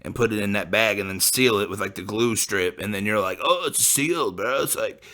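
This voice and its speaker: A man's voice: lowish voice, fairly quick, bright, forceful tone. A man in his late twenties from the American Midwest, rambling casually and profanely as a podcast host, full of fillers. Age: late 20s. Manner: laid-back, profane, full of likes and you-knows.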